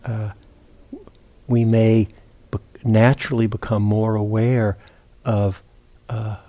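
A man teaching meditation, giving guided instruction in a halting, unhurried way with long pauses and repeated words. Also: lowish voice, little pitch movement, mellow. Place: meditation retreat center.

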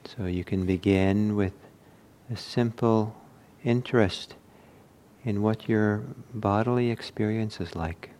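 Male meditation teacher, lowish pitch, slow measured delivery in short phrases with generous pauses. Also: dark tone. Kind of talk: guided meditation instruction.

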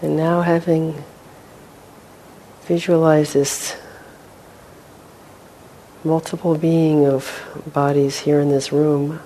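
Slow, mellow woman guiding meditation. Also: level tone, poor audio. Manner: gentle, unhurried, with long pauses. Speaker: meditation teacher. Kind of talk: guided meditation. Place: meditation hall with a group. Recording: faint rumbling background noise.

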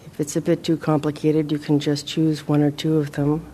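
A woman leading a guided meditation as a teacher, speaking gently and in a measured way with long pauses. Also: low voice, flat delivery, poor audio.

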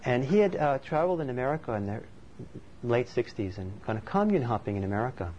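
A man's voice: mid-range voice, very animated, fast, a little mellow. A man from Norway giving a thoughtful, conversational dharma talk as a meditation teacher. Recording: slightly dull recording with faint background noise.

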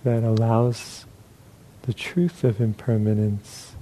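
A man leading a guided meditation as a teacher, speaking in short, unhurried phrases with long pauses between them. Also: fairly low pitch, level tone, warm tone, a little hiss or hum, low-quality recording.

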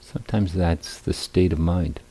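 A man teaching guided meditation at a retreat, speaking in deliberate, hesitant phrases with long pauses. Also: deep voice, steady tone, dark tone.

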